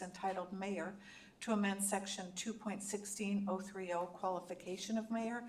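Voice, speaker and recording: A woman's voice: medium pitch, distant mic, slight background noise, low-quality recording. A woman city clerk reading agenda items aloud carefully, with occasional slips that she corrects.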